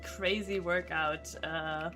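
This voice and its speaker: A woman game streamer chatting casually while she plays, rambling and thinking out loud. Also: on the low side, steady tone, slow. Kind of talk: casual livestream chat while playing. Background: game music.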